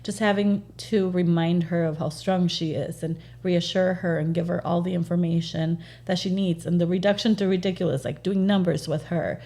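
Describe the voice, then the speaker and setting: A woman realtor, a native Spanish speaker, speaking earnestly in a casual interview, often pausing on fillers. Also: on the low side, steady tone, mellow. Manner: earnest, halting with frequent fillers.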